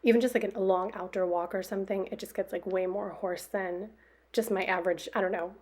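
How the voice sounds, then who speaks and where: A young woman talks through her week in a relaxed, rambling voiceover. Her voice is noticeably hoarse and worn, and she fills her sentences with ums and little laughs. Her pitch sits in a middle range. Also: a little fast. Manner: rambling, chatty, many fillers and little laughs. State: extra hoarse after a workout. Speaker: planner vlogger. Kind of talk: casual voiceover chat.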